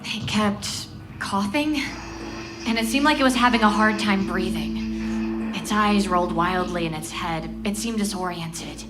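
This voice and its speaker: A woman's voice: mid-range voice, unhurried, natural voice. An actress playing a bitter female survivor with a blunt manner, in a horror audio drama. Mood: disturbed. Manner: blunt, sweary. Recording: loud music under the voice. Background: loud music.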